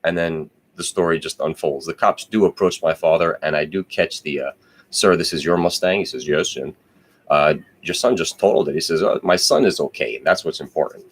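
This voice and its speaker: Brief: very low-voiced guy, rambling casual storyteller, lots of "uh"s and restarts. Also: a little fast, breathy edge. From New Jersey. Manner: rambling, digressive, with many fillers and self-corrections. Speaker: podcast host.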